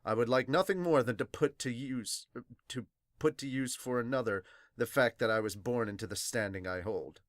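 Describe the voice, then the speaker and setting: A podcast host voicing a solemn, courteous nobleman protector from a manga in a hushed, measured way. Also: medium pitch, no echo, clean studio sound, pitched below the performer's own voice, close to an everyday voice.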